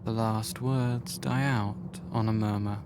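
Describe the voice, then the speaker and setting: A man reading scripted literary narration for a visual novel in a measured, formal storytelling manner. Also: fairly low pitch, steady tone, background noise.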